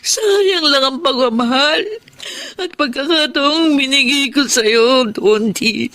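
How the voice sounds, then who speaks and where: A woman's voice: high-pitched, slow pace, a bit bright. An actress playing a betrayed, wounded longtime girlfriend in a tearful, melodramatic Filipino radio drama.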